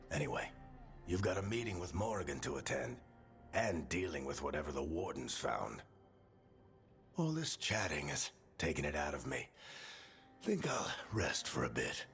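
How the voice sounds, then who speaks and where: A man voicing a weary, wise older mentor in a video game, gravelly and gruff but fatherly. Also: rumbling low, a bit bright, exaggerated voice.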